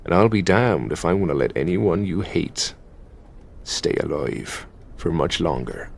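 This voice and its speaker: A man with an Irish accent playing a possessive, taunting demon lover in an intimate, gravelly ASMR roleplay. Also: deep voice, very animated, exaggerated voice. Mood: possessive, menacing. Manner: commanding, foul-mouthed, taunting, drawn-out delivery. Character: possessive, menacing, teasing male high demon of lust.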